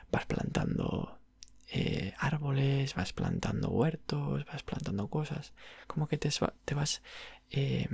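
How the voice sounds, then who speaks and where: A man with an accent from Spain playing a patient, friendly video game shop clerk in a soft, unhurried ASMR roleplay, close to the microphone. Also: medium pitch, no room sound, full character voice. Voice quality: breathy, soft, creaky. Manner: unhurried, hesitant, explaining gently in diminutives. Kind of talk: ASMR roleplay, soft whispered and low murmured talk. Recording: dry, very close ASMR recording.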